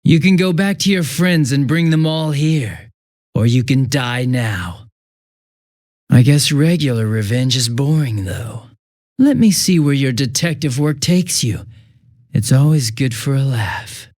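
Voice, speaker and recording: A man voices a young detective gone bad, a smug game villain who enjoys taunting. His mid-pitched voice swoops from bored, sarcastic drawl to giddy theatrical mockery. The sound is very dry, with no room to it. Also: animated, unhurried, darkish tone, dry recording, close to an everyday voice. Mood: bored, contemptuous. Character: smug, mocking young male detective turned murderous villain. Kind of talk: video game voice acting.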